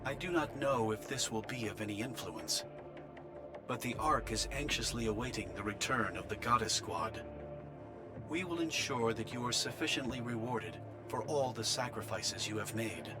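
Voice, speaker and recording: An actor playing a solemn male official in a game, formally and diplomatically delivering a military briefing in measured phrases. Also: mid-range voice, lively intonation, close to an everyday voice. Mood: grave, businesslike. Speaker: official voice of the Ark leaving a recorded message. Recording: music behind the voice.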